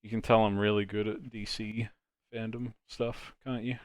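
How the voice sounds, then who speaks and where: A man's voice: on the low side, level tone, moderate pace, no room sound, clean studio sound. A man hosting a live stream, chatting casually and joking with friends. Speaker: host of a live dubbing stream.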